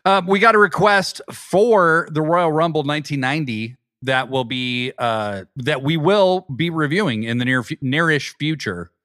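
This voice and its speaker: A man co-hosting a podcast, plugging the show in a steady, matter-of-fact way with dry jokes. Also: on the high side, normal speed, few pauses, no room sound. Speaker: podcast co-host. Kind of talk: casual chat, show plugs.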